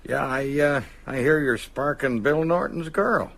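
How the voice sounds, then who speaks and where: An actor playing a folksy, wary small-town constable with an American accent and hesitant, colloquial talk in a radio drama. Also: male voice, mid-range voice, slow, creaky, a bit bright. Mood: sly, chatty.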